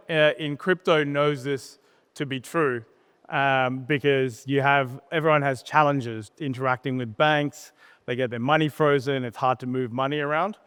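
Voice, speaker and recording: A man gives an informal, plain-spoken conference talk, telling personal stories with pauses and tag questions. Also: a little high, fairly bright tone, smooth. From born in Sri Lanka, grew up in Australia. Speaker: startup co-founder, conference speaker. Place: conference stage in a large hall. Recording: reverberant hall PA sound.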